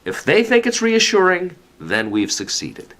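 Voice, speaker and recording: A man's voice: high-pitched, huge pitch swings, unhurried, a bit bright, exaggerated voice. An actor playing a jovial, patronizing older male official who reassures in an emphatic, avuncular way, in a comedic radio play. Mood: confident, reassuring.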